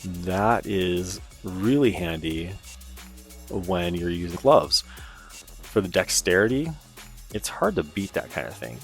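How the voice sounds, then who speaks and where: A man's voice: low voice, animated, slow pace, pauses often, soft background music. A man giving a casual, informal product review in a conversational way. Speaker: presenter of radio gear review.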